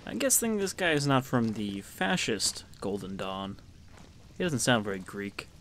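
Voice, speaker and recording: A man's voice: on the low side, expressive, unhurried, background noise. Laid-back man dryly commenting on gameplay. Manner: dry, offhand sarcasm. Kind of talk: casual let's-play commentary. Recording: voice over loud game music.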